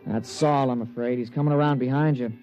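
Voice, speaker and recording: An American actor playing a plain-spoken, loyal golf caddie who narrates in slangy old-time radio style. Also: male voice, mid-range voice, steady tone, brisk, mellow, lightly acted. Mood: deferential, matter-of-fact. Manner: folksy, slangy, deferential. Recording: dull vintage radio recording, music behind.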